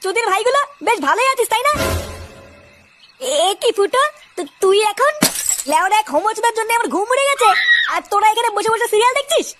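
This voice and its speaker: A squeaky hot-tempered village lad. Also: lively intonation, fast talker, pauses often, soft background music. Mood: angry ranting. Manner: shouting crude rants. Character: hot-tempered foul-mouthed young village man. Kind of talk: comic cartoon dubbing.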